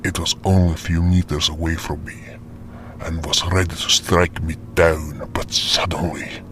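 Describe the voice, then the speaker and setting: A man playing a solemn hunter who narrates a fantasy tale of battle in a grave, breathy, deliberate way. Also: low-pitched, level tone, fairly bright tone. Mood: grave, tense. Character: solemn hunter recounting a monster fight. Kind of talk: scripted dramatic fantasy story narration. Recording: music bed behind the voice.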